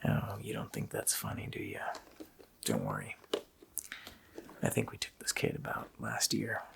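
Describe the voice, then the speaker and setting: A man voicing a sadistic, joking Christmas demon in a gravelly, whispered ASMR roleplay, with a slow, mockingly playful menace. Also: lowish voice, full character voice. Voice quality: gravelly whisper with creaky growl.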